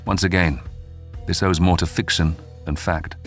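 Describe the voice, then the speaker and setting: Man, low-pitched voice, documentary narrator, scripted dramatic storytelling, measured, faint music bed. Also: steady tone, fairly bright tone.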